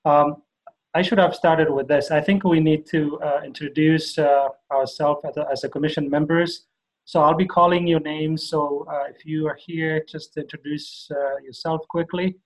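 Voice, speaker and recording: A man's voice: fairly high pitch, steady tone, moderate pace, rough recording. A man from Somalia chairing a meeting on a video call, hesitant and full of fillers.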